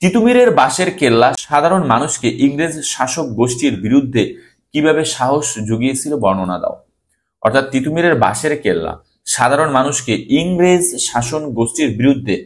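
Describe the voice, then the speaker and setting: A man with a Bangladeshi accent teaching an exam-preparation lesson, reading questions aloud and instructing students, repeating key phrases for emphasis. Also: mid-range voice.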